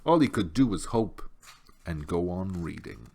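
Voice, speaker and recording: A man's voice: low voice, animated, unhurried, gravelly, darkish tone, husky. A man narrating a storybook as an audiobook reader.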